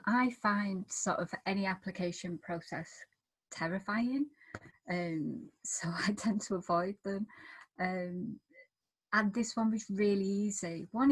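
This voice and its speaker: A woman's voice: lowish voice, husky, low-quality recording. A woman writer chatting informally and anecdotally as a panelist.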